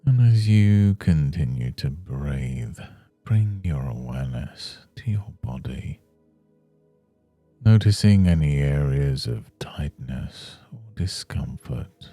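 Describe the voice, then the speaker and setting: A man guiding a scripted meditation in a soothing, measured manner with long pauses between phrases. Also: extremely low pitch, expressive, gravelly, mellow, no room sound. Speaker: meditation guide. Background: faint music.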